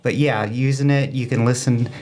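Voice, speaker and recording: A man's voice: medium pitch, steady tone, normal speed, a little mellow. A man speaking as a club guest in a casual interview, rambling and enthusiastic as he explains and tells anecdotes. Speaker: amateur radio club guest.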